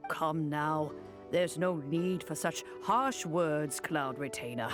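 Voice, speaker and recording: An actor voicing a smooth, courteous gentleman mediator in a game cutscene. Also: a little high. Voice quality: suave, courteous.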